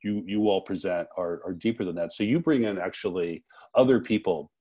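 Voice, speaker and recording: This is a man with a husky, somewhat low voice, working as an interviewer. He talks conversationally and haltingly, often doubling up words as he thinks his way into a question. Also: dark tone, highs cut off. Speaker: interviewer, festival co-director.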